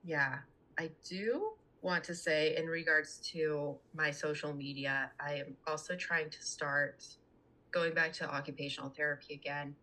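A woman's voice: sits low, steady tone, a little slow, sharp tone, low-quality recording. A young adult woman talking casually as a podcast guest, earnest and chatty. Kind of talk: casual conversational interview talk.

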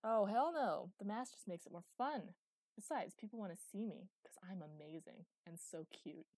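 A woman voicing a cocky, playful teenage boy acrobat in an audiobook, chattering in an excitable, teasing, boastful way. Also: fairly low pitch, moderate pace, dry recording. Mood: jubilant, cocky. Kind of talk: audiobook character dialogue.

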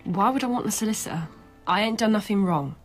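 A woman's voice: medium pitch, fast talker, faint music behind, natural voice. An actress playing a defiant, obsessively lovesick young working-class woman in a British radio drama, blunt, colloquial and insistent.

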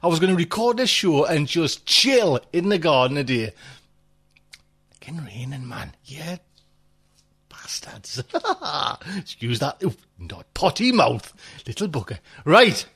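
Middle-aged male podcast host, high lively voice, loose casual rambling delivery. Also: unhurried, slightly rough. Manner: rambling, chatty, laughs often, swears. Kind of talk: casual chat.

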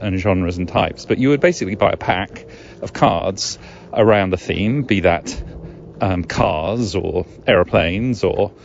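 Podcast host, male, middle-aged. Low register, hesitant musing with wry asides, slightly dull recording. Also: darkish tone. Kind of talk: musing solo podcast monologue. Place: outdoors in the woods. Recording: dull lo-fi recording, ambient noise.

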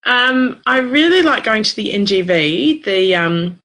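An Australian woman who is a podcast guest, talking in a relaxed, easygoing way with plenty of fillers. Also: mid-range voice, a little slow. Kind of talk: casual conversation.

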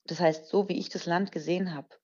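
A woman's voice: fairly low pitch, fairly even intonation, fairly quick, mellow, husky, muffled recording. A woman in her twenties from Germany, a podcast guest explaining things earnestly with occasional fillers. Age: 20s. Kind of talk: conversational interview answers.

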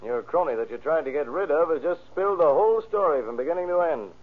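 A man's voice: fairly high pitch, expressive, fast. An American actor playing a confident, needling lawman grilling a suspect in a vintage radio drama. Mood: taunting. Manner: taunting, emphatic. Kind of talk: 1930s radio drama acting. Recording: muffled, band-limited old radio recording.

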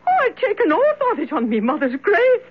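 An actress playing an excitable, superstitious cleaning woman in a 1970s radio drama. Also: very high-pitched, fairly quick, full character voice. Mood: fearful awe. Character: excitable superstitious cleaning woman swearing she saw the devil. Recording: muffled old radio broadcast.

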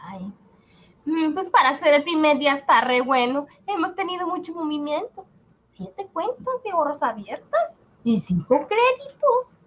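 Radio comedy: devout, excitable immigrant wife, very animated, exclaims and frets, dull lo-fi sound. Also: female voice, high voice, moderate pace. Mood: cheerful. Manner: exclaiming, wailing when worried. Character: fretful, pious wife of an immigrant banker. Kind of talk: comic radio drama acting.

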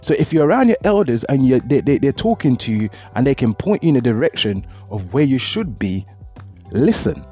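A man's voice: medium pitch, sing-song, fairly quick, mellow, husky. A middle-aged man, earnestly giving advice in a reflective monologue, often repeating words as he talks. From Jamaica. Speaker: motivational speaker. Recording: dull lo-fi audio with faint music.

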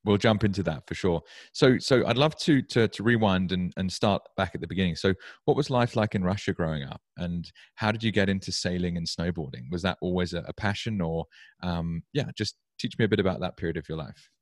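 A man's voice: deep voice, fairly quick, no room sound, clean studio sound. A man from the UK, in his thirties, hosting a podcast interview in a casual, rambling way with frequent restarts. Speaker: podcast host.

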